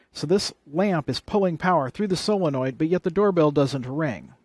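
A man's voice: on the high side, darkish tone, no room sound. Man giving a dry, close-sounding tutorial. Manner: plain-spoken, explanatory, step by step. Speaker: presenter of an electronics how-to. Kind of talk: hands-on tutorial demonstration.

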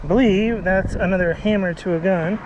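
A fairly high-voiced man talks his way through a magnet fishing session on a bridge, easygoing and thinking out loud. Recorded outdoors on a head-mounted camera, with loud traffic and outdoor noise running underneath. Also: brisk, dull audio. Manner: easygoing, thinks aloud, unsure guesses. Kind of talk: casual narration while working. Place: outdoors on a bridge over water. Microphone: head-mounted action camera. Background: traffic and outdoor ambience.

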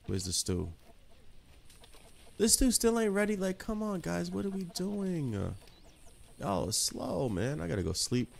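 A man's voice: sits high, very animated, a little slow, gravelly, pauses often. A man with a stuffy nose from a cold, giving laid-back, slangy commentary while playing a video game. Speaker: gameplay streamer. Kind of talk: casual let's-play commentary.

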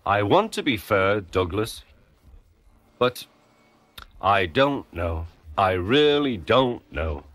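A man voicing a stern, pompous middle-aged railway boss in a measured manner, in a storybook reading. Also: fairly low pitch, sing-song, a bit bright, dry recording, full character voice.

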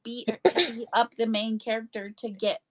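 A young woman talking casually about a book on a video call, in a halting, informal way full of "like". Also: a little high, flat delivery, poor audio. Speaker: guest co-discussant. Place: small indoor room with bookshelves.

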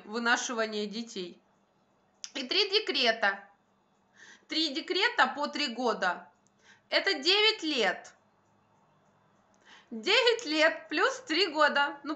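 A young woman with a fairly high, very bright and forceful voice talks to her phone at home. She vlogs in a casual, colloquial style, stressing her points as she airs her grievances. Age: young adult. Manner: emphatic, colloquial venting. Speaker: vlogger, stay-at-home mother. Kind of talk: casual chat to camera. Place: bright room at home by a window, indoors. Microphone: phone at arm's length.

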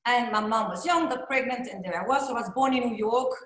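A Belgian woman in her forties talks in an animated, gesturing way, peppering her speech with "you know". Her voice sits a little high and carries the echo of a roomy office. She is heard through a laptop mic on a video call. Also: fast talker. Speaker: interview guest. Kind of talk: casual video-call interview. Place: bright office indoors. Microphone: laptop webcam mic on video call.

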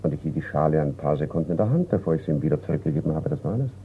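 An actor playing a reserved, courteous businessman and collector in a radio crime play, speaking in a measured way. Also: very deep voice, animated. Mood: guarded. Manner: measured, polite. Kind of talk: German radio drama acting. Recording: tinny, noisy radio recording.

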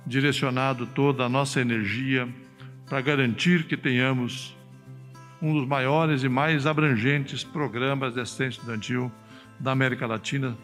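A man's voice: medium pitch, fairly even intonation, normal speed, a bit bright, soft background music. A middle-aged man with a Brazilian Portuguese accent gives a formal ceremonial speech at a podium mic in a large hall. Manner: formal, oratorical. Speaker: university official. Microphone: podium mic on stand. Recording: reverberant hall.

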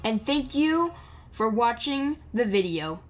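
A woman's voice: on the high side, sing-song, takes it slowly, faint music behind. A woman giving casual, playful, rambling commentary while playing a video game.

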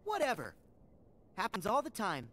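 A man's voice: high voice, huge pitch swings, moderate pace, a bit bright, heavily acted. An actor playing a cheerful, impulsive teenage boy hero in a video game, breezy and boyish. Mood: dismissive. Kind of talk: video game cutscene acting.

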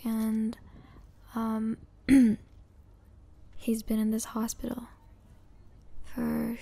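A woman playing a hesitant, apologetic young student and caring sister in a breathy, whispery ASMR roleplay. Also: fairly high pitch, warm tone, exaggerated voice. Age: young adult. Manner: halting, hesitant. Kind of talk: soft-spoken ASMR roleplay.

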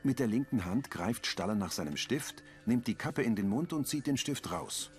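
A man's voice: mid-range voice, moderate pace, faint music behind. Man reading scene descriptions. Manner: plain, even reading of scene directions. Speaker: audio description narrator. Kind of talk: scripted narration.

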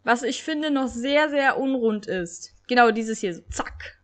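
Young woman, stuffy from a cold, chatty game commentary, kinda high voice, gets hyped. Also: measured pace. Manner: excited, chatty, stumbles when reading. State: has a cold. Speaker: gaming channel host. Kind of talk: casual gameplay commentary with reading aloud.

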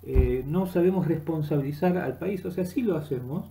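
A middle-aged man with an Argentine accent giving an unscripted, halting monologue that rambles as he searches for words, recorded on a webcam in a room. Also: on the high side, vocal fry, dark tone, low-quality recording. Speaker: vlogger. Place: small room indoors. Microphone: webcam at desk distance.

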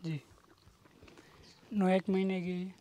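A man's voice: high voice, level tone, takes it slowly, dark tone. A young man, a horse handler on a farm, giving short polite answers outdoors. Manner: short compliant replies, "जी, जी". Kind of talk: casual answers.

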